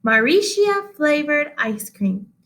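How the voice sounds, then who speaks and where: A caring, eager storybook schoolteacher, read by a woman, talks in a high voice. She is earnest and keen to please her class. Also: sing-song, slow, fairly bright tone, heavily acted. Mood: eager, hopeful.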